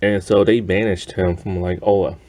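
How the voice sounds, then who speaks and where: Man, deep mellow voice, casual reviewer, conversational, rambling, easily amused. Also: little pitch movement, normal speed. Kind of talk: casual spoken review of an animated series.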